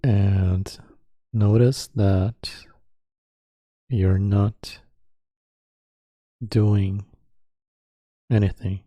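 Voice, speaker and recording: A man leading a guided meditation, speaking calmly in sparse phrases with long pauses between them. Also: fairly low pitch, no echo.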